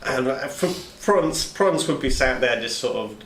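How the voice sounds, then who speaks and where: A man's voice: mid-range voice, expressive, measured pace, fairly bright tone. A man chatting casually as a tabletop player, describing what his character does. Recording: roomy echo, faint background noise.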